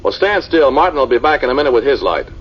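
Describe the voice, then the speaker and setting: An actor playing a level-headed archaeologist who narrates an eerie tale in measured, suspenseful 1940s radio drama style. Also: male voice, medium pitch, big pitch swings, husky. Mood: stern, alarmed. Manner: measured, brooding narration; brisk commands in dialogue. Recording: muffled, noisy 1940s radio recording.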